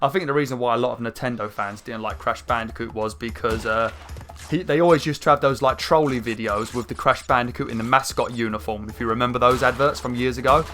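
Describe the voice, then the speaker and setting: Croaky man chatting over gameplay. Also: medium pitch, quick pace, slight background noise. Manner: rambling, chatty, many fillers, excited outbursts. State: croaky throat. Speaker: gaming channel host. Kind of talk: casual gameplay commentary. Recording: faint game music behind. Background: game music.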